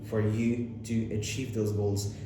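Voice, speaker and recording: A man's voice: lowish voice, level tone. Man with Arabic as first language, very echoey room. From Lebanon. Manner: fast, repetitive, with many restarts and fillers. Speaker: self-improvement vlogger. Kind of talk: casual talking-to-camera advice. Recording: very echoey room with clear background noise.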